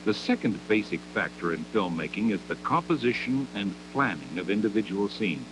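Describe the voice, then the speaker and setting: A man narrates a scripted 1960s educational film in a measured, didactic manner, with deliberate phrasing, on an old 16mm soundtrack. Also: fairly low pitch, big pitch swings, background noise.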